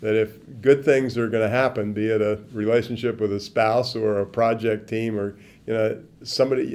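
An older man answering interview questions in a casual, animated way, on a lapel mic at an indoor event. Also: fairly low pitch, creaky. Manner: animated, gestures with hands, swings from quiet to loud. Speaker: interviewee, business speaker. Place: indoor event interview corner before a branded backdrop.

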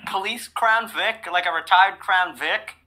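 A woman chiming in on a casual group call with quick, hesitant interjections. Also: medium pitch, bright, forceful tone. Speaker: co-host in voice call.

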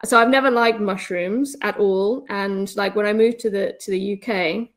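A relaxed, chatty middle-aged woman from Zambia talks over a video call from a room at home. She answers casual questions easily and laughs often, in a voice of middling pitch. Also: normal speed. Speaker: guest speaker. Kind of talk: casual webinar chat.

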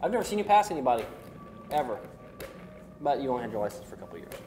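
A middle-aged man co-hosting a geek show in casual chat, teasing in a deadpan way, seated in a room. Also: medium pitch, very fast. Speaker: co-host of a geek culture show. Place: medium-sized indoor room, seated at a table. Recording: roomy echo with faint background noise.

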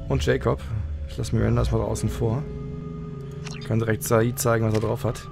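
Male let's player, gravelly medium-pitched voice, unhurried thinking-aloud commentary, game music underneath. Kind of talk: casual gameplay commentary. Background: video game music and effects.

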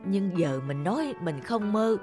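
A woman's voice: medium pitch, animated, measured pace, music in the background, close to an everyday voice. A woman voicing a sly, lazy, grumbling fat old man in a cartoon folktale, blustering and scheming. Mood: smug, scheming. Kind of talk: cartoon folktale character acting.

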